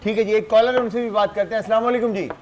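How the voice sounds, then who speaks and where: A man hosting a live TV cooking show, talking in an exclaiming, lively way with callers and guests. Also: sits high, rapid-fire, slight background noise. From Pakistan. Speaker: TV chef host. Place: TV studio kitchen.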